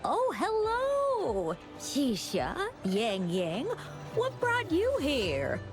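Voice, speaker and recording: A woman voicing a kindly elderly flower-shop grandmother in a video game, gentle, affectionate and unhurried in manner. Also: sits high, wildly swooping pitch, music in the background, full character voice. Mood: pleasantly surprised. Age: older.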